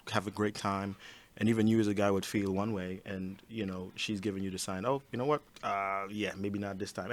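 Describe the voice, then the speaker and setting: A man with a Trinidadian accent, telling dating stories in a casual group discussion and laughing often. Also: fairly low pitch. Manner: laughs often, animated storytelling. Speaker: panel guest.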